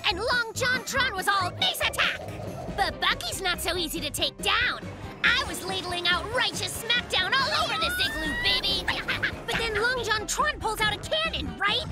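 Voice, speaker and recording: Squeaky hyper cartoon bear cub, bouncy, loud music behind. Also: female voice. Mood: boastful. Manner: hyper, boastful, dramatic storytelling with sound effects. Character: excitable boastful young brown bear cub.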